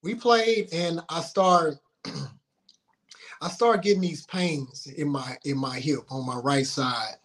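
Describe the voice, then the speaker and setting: A man telling personal stories casually as an interview guest, in an informal, halting, colloquial way. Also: fairly high pitch, fairly bright tone. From Houston, Texas, United States.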